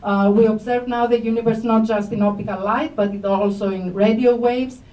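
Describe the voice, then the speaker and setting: A woman's voice: a little high, fairly even intonation, moderate pace, mellow. A middle-aged woman with a Greek accent giving a friendly public science talk, asking the audience questions, with many fillers. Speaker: astronomy professor. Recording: echoey room, voice from far off mic.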